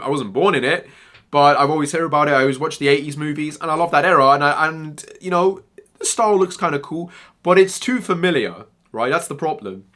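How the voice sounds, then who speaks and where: Bright-voiced man ranting casually. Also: on the high side. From Germany-born Iranian, raised in England. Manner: rambling, repeats words, emphatic. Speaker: gaming commentator. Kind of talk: opinionated casual commentary.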